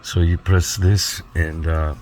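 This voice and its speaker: A man with a low, deep voice demonstrates equipment in a casual review style. He explains things step by step and checks in as he goes. There is clear noise behind his voice. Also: flat delivery, slow pace, darkish tone. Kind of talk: casual hands-on product walkthrough.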